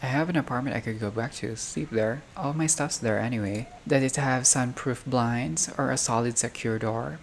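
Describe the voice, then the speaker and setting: A gamer reading visual novel text aloud in a halting way, breaking off for casual asides and reactions. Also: medium pitch. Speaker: gaming video creator playing a visual novel. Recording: clearly noisy home recording, unstable audio.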